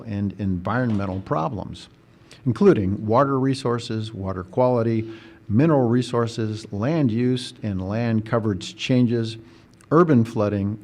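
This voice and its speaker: A man's voice: fairly low pitch, a little mellow. A man hosting an education podcast, reading a formal introduction and then chatting with his guest, earnest and friendly with a few fillers. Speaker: podcast host, recruitment coordinator. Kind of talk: scripted introduction and interview.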